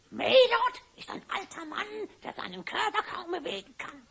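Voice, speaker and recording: A very high-pitched hyena spy from a fantasy radio play. The voice crackles with creak, and every rhymed line is sly, gleeful and theatrically scheming. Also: female voice, huge pitch swings, slow, fairly bright tone, exaggerated voice. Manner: theatrical scheming, frequent cackling, rhyming speech. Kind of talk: German fantasy audio play acting.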